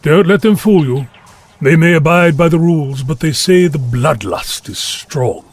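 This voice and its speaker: An actor playing a pompous, fretful government ambassador who grumbles gloomily and disdainfully in a comic audio drama. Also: male voice, a little high, expressive, unhurried. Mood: exasperated. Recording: music behind the voice.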